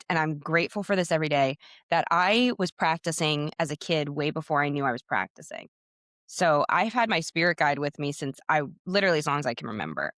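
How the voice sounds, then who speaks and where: A woman's voice: sits low, a bit bright, dry recording, studio quality. Chatty Pennsylvania woman in her thirties. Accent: Pennsylvania American. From United States, Pennsylvania. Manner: chatty and talkative, full of "like" and "you know". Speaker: guest author and podcaster. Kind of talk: casual interview chat.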